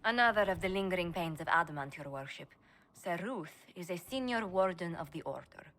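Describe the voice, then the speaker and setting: An actress playing a composed, shrewd female advisor who speaks in a measured, formal way in a game. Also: fairly low pitch, lively intonation. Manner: measured, formal, quietly persuasive. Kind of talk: video game voice acting.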